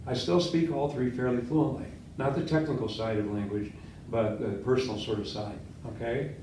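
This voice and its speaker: A man's voice: medium pitch, moderate pace, slight background noise. Older Latvian-born man lecturing in echoey room. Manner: animated, quizzes students, gestures widely. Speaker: history professor. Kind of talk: classroom lecture with questions. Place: seminar room with whiteboard. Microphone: far camera. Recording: echoey classroom from far off.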